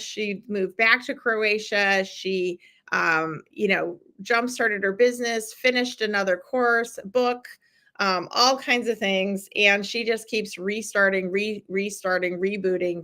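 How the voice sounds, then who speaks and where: A middle-aged woman hosting a live-stream challenge, chatting warmly with viewers and encouraging them, with lots of ums and restarts. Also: medium pitch, measured pace. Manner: chatty and encouraging, with many fillers. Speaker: podcast host running a live challenge. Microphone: streaming from a computer.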